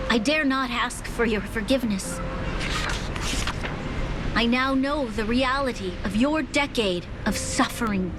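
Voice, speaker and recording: Solemn young female ruler giving an oration. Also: high-pitched. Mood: earnest. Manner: stately, earnest, measured. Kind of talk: video game voice acting, formal public oration. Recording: loud music behind the voice.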